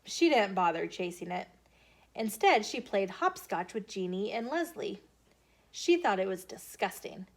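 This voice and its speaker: A woman hosting storytime, reading aloud to children in an expressive, deliberate storytelling way. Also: medium pitch. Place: filmed in a bookstore.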